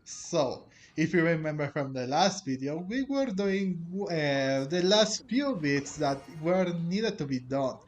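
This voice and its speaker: A man doing casual, rambling gameplay commentary as host, with halting delivery. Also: on the high side, animated. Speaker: host of a game playthrough channel. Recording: game music under the voice. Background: game music.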